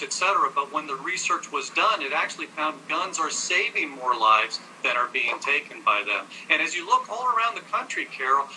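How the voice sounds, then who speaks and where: A man's voice: a little high, sharp tone, slight background noise, rough recording. An American man arguing assertively as an advocate in a televised interview, correcting his host. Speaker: gun-rights advocate, interview guest. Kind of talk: televised debate, arguing with statistics.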